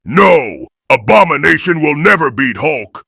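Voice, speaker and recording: A huge, simple-minded brute of a game hero. The voice is harsh and gravelly with a bright, forceful edge, and the phrasing is blunt and childlike. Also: male voice, a little high, very animated, a little slow, no room sound, clean studio sound, heavily acted. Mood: defiant rage. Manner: blunt, broken simple speech. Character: Hulk, huge simple-minded brute hero who talks in third person. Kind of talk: video game character voice acting.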